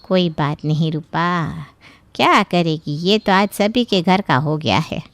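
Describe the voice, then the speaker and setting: A woman playing an inquisitive, familiar middle-aged neighbour and friend in an animated story. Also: lowish voice, slow, dry recording. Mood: consoling. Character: curious, sympathetic gossipy neighbour woman friend. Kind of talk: animated story character dialogue.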